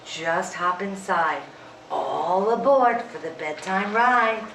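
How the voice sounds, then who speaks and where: A woman's voice: medium pitch, slow, a bit bright, a little hiss or hum. A woman voicing a friendly little truck in a bedtime storybook, speaking in a reassuring, welcoming way. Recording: echoey room, from far off.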